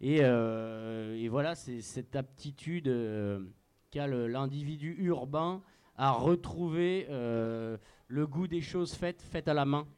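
A man with a French accent from France, a musician guest giving halting, wordy, mock-serious answers in a tongue-in-cheek radio interview. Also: medium pitch, a little mellow, smooth.

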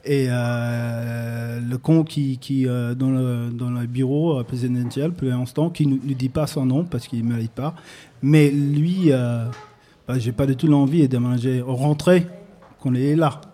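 Hesitant American man, mellow voice. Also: mid-range voice, steady tone. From United States. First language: English. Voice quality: halting, many fillers and restarts. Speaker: guest artist. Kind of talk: hesitant interview answers. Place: public recording at an arts space.

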